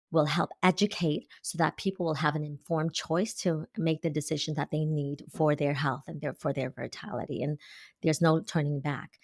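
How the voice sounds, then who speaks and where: A woman's voice: sits low, creaky, no room sound, clean studio sound. A woman in her fifties, an acupuncturist giving earnest, explanatory answers in an interview. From Canada. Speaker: guest, acupuncturist.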